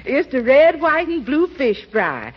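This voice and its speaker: Casting: chatty, proud American maid, highly expressive, old radio comedy with muffled, hissy sound. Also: female voice, a little slow. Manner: folksy, grandly rolling out titles. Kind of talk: 1940s radio comedy acting.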